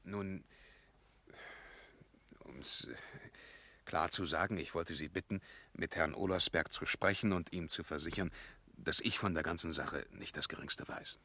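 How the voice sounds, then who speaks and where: An actor in a 1950s German radio play as an uneasy, defensive bank clerk, hesitant yet earnestly insistent and eager to please. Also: male voice, deep voice, unhurried, long pauses, sharp tone, dry recording, full character voice.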